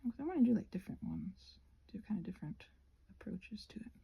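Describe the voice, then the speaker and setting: A woman rambling casually as she crafts for her ASMR channel, halting and self-deprecating, with a husky voice that often drops toward a whisper. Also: medium pitch, big pitch swings, dark tone. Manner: halting, meandering, self-deprecating, searching for words.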